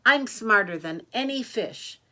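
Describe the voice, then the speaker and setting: A woman voicing a cocky, eager little girl in a storybook, loud and emphatic. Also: fairly high pitch, expressive, measured pace. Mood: boastful.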